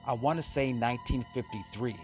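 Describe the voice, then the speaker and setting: A man's voice: mid-range voice, brisk, a little mellow. A man narrating a scripted introduction as host of an old-time radio horror show. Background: music under the voice.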